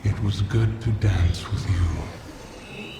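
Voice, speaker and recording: A dying male dragon spirit from a video game, rumbles with extremely heavy gravel and echo over noisy ambience. He speaks slowly and solemnly, tender and at peace. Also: exaggerated voice. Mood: serene farewell. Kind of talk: dramatic video game voice acting. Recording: echoing voice amid loud ambient rumble.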